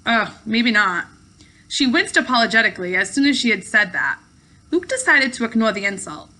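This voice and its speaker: Bright, animated woman reading a story. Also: fairly high pitch, fairly quick, low-quality recording. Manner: animated, with occasional stumbles and rereads. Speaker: teacher reading aloud to pupils. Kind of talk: expressive storybook narration.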